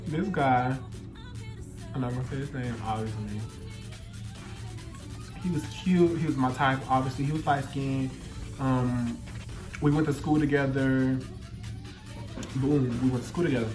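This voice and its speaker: Man in his twenties, Louisiana Southern accent, flamboyant feminine delivery, sassy storytime chat, medium pitch, music behind. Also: measured pace, long pauses. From Louisiana, United States. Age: 20s. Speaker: YouTube storyteller. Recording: roomy echo, music behind the voice.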